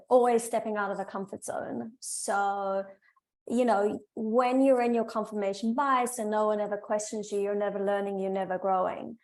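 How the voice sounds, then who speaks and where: A woman panelist speaking earnestly and thoughtfully. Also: mid-range voice. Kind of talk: panel discussion.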